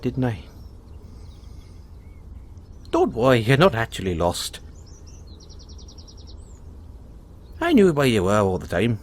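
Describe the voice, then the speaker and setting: A man playing a cheerful, kindly leprechaun with a teasing, folksy manner, in an audio roleplay spoken to the listener. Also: medium pitch. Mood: sheepish, reassuring. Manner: chuckling, teasing, folksy talk. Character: cheerful, kindly male leprechaun, chatty and sly.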